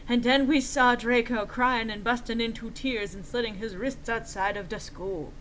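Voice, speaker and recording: A woman's voice: fairly high pitch, steady tone, moderate pace, fairly bright tone, slight background noise, low-quality recording. A woman giving a theatrical, exaggerated, mock-earnest dramatic reading of fan fiction as narrator.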